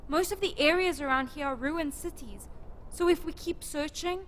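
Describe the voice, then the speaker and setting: Woman acting protective wasteland rescuer, very high voice, firm, exasperated, audio drama, faint background noise. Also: normal speed, a bit bright. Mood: calm. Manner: firm, matter-of-fact.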